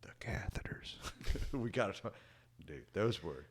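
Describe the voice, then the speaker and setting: Deep-voiced young man telling a story. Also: unhurried, husky, in a whisper. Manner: rambling, many "like" and "dude" fillers; relaxed, trails off quietly at ends of thoughts. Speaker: podcast guest. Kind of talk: casual storytelling chat.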